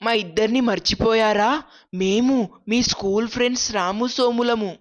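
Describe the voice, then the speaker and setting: A woman's voice: a little high, steady tone, moderate pace, lightly acted. A woman voicing a friendly, shy and hopeful young man in a cartoon story. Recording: dull lo-fi sound.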